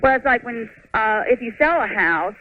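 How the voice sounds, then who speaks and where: A middle-aged American woman phones a radio advice show with a casual question. Her voice is high, coming over a narrow phone line with faint noise. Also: animated, moderate pace.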